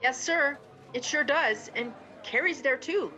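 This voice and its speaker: A student actress playing a folksy, excitable, gossipy marshal's sidekick in an amateur western radio play. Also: female voice, high voice, a little slow, soft background music. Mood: urgent.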